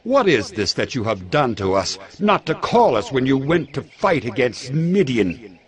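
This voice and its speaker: A man playing a fiery, indignant Israelite fighting man in a dramatized audio Bible, with forceful declamatory delivery. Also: mid-range voice, very animated, measured pace. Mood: accusing, indignant. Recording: crowd noise behind the voice.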